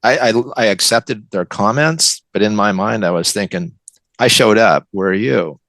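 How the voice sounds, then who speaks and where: An American man chatting casually as an interview guest, halting and repeating words as he thinks. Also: on the low side, fairly bright tone, no room sound. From western United States.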